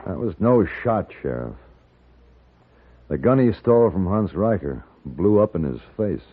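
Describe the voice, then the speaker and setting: An actor playing a cool, commanding, wry American gentleman gunfighter in a 1950s Western radio drama. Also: low-pitched, very animated, unhurried, husky, no echo, full character voice. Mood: cool, grim. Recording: phone-like old radio recording, music.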